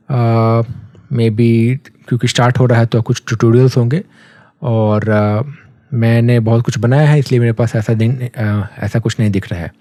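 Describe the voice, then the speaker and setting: An Indian-accented man walks a learner through software in a casual, conversational way. His voice is mellow and relaxed at an average pitch, recorded very dry. He explains step by step, slipping in English terms and checking in as he goes. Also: no room sound. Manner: conversational, mixes English terms, often checks in with a tag phrase. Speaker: software tutorial instructor.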